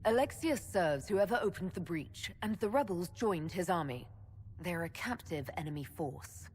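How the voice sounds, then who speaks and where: An actress playing a resolute young female hero and leader in a video game, speaking in a firm, measured way. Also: lowish voice, lively intonation, darkish tone, in a large hall, a little hiss or hum, natural voice. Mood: grave. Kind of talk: video game cutscene acting.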